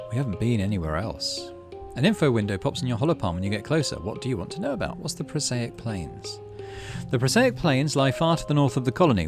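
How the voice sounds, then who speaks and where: A man hosting a gaming channel, reading a visual novel aloud and making relaxed, musing asides. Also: mid-range voice.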